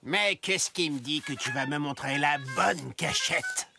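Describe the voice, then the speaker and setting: A grumpy, money-mad cartoon villain who blusters and bullies. The voice is creaky and swings widely in pitch. Loud music runs underneath. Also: animated, measured pace. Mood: menacing. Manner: blustering, exclamatory. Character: greedy, grumpy male treasure hunter, bullying and gleeful. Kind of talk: animated children's cartoon villain acting.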